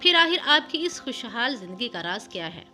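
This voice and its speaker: A woman's voice: a little high, sing-song, fairly quick, sharp tone, exaggerated voice. A woman voicing a curious, probing female journalist who asks incredulous questions in a narrated story. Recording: music under the voice.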